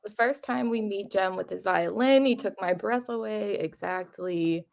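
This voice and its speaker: A woman's voice: medium pitch, moderate pace, creaky, darkish tone. A woman co-hosting a casual book discussion in a gushing, giggly manner. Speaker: co-host of a book readalong discussion.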